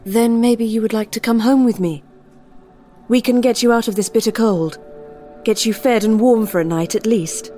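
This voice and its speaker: Actress as sinister polite mother, soft dark timbre, coaxing, quietly commanding, audio play, music underneath. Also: a little high, normal speed, close to an everyday voice. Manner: polite, coaxing, firmly insistent. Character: pale, eerily gracious mother, quietly commanding. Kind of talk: audio drama acting.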